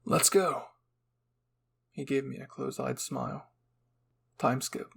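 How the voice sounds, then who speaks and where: A man's voice: mid-range voice, monotone, a little slow, creaky, husky. A man reading scripted first-person narration as a storyteller.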